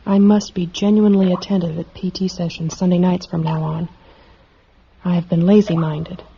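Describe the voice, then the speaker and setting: A woman's voice: lowish voice, level tone, normal speed, vocal fry, mellow, slight background noise. An actress playing an earnest, dedicated woman psychologist in a dystopian radio drama. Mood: reflective, tender. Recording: dull vintage radio recording, music behind.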